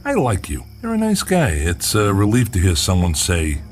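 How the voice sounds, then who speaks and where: A man's voice: deep voice, huge pitch swings, moderate pace, a little mellow, faint music behind. An actor playing a wry, skeptical male investigator in an audio drama, dry and a little hesitant. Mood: wry, friendly.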